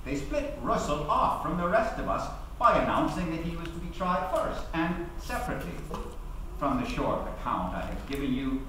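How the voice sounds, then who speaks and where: A man's voice: medium pitch, sharp tone. Man acting a defiant activist orator, echoing hall. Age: middle-aged. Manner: oratorical, emphatic, deliberate phrasing. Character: defiant labour activist recounting his sedition trial. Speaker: actor giving a dramatic reading. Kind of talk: theatrical dramatic reading of a memoir speech. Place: large hall, at a lectern on stage. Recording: echoey hall, distant mic, faint noise.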